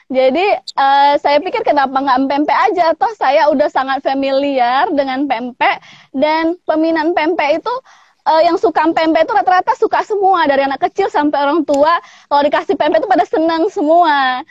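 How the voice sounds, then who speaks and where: A woman's voice: high voice, normal speed, no room sound, lo-fi sound. A woman from Palembang in her thirties, a food business owner chatting casually in an interview over a video call, with frequent laughs. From Palembang, Indonesia. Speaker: pempek business owner, guest.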